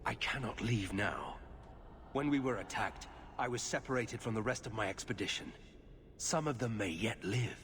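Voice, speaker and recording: An actor playing a grave, guarded veteran warrior in a fantasy video game, speaking in a measured, formal way. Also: male voice, fairly low pitch, fairly bright tone. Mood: grave, concerned. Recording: echoing reverb with background noise.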